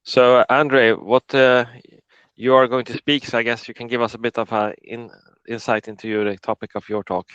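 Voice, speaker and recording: A man from Sweden chatting casually on a panel, halting, with many restarts. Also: mid-range voice, flat delivery, a bit bright, clean tone, no room sound, highs cut off. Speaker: conference speaker. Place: Netherlands.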